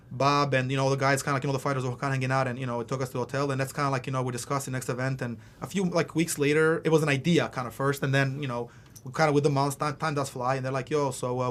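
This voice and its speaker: A man who grew up in Philadelphia after early years in Albania, a mixed martial arts fighter talking casually as a podcast guest, rambling with many fillers. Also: medium pitch. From Albania, raised in Philadelphia. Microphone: studio podcast mic.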